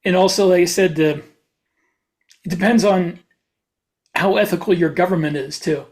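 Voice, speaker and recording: A man with a distinctly high voice talks in a casual, conversational way. He sounds like a podcast co-host chipping into a discussion. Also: moderate pace, a little mellow.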